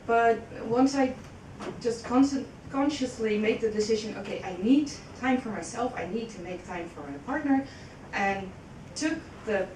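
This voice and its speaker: A woman making an informal comment from the audience, tentatively, pausing mid-sentence. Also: lively intonation, a little mellow. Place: echoey indoor conference room. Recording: echoey room, distant mic, faint rumbling noise.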